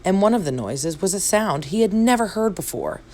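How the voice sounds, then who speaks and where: A woman narrating a children's story as an expressive read-aloud. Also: medium pitch, normal speed. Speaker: storybook reader.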